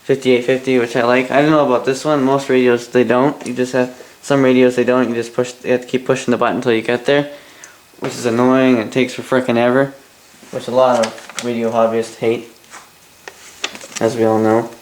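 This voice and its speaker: A Canadian man talks through a casual hobbyist review in a flat, mid-pitched voice. He rambles and grumbles in asides, with mild swearing. There is faint noise behind him. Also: fairly quick, poor audio.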